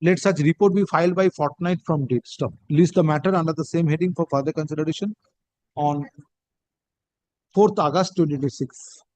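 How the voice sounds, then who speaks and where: A man with a Bengali Indian accent speaking as a judge, dictating a court order in halting, deliberate phrases and calling out punctuation. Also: fairly high pitch, a little mellow, dry recording, poor audio.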